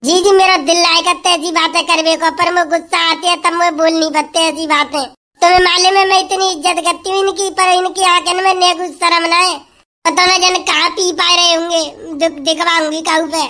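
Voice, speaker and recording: A woman's voice: very high voice, little pitch movement, normal speed, bright, forceful tone. A woman voicing a hungry, fed-up village wife who grumbles and scolds in a comic sketch. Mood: complaining. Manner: complaining, scolding, rustic chatter.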